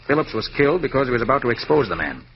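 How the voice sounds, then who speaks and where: An actor playing a shrewd private detective in a 1940s-style radio drama, brisk, clipped and commanding. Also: male voice, mid-range voice, animated, creaky. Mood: urgent, businesslike. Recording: muffled, noisy old radio recording.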